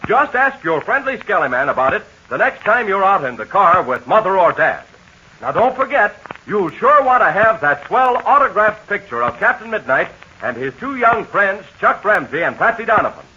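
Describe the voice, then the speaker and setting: A man announcing in a 1940s radio ad, making a peppy, folksy pitch to children. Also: big pitch swings, normal speed, pushed and bright, slight background noise. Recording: muffled 1940s radio transcription.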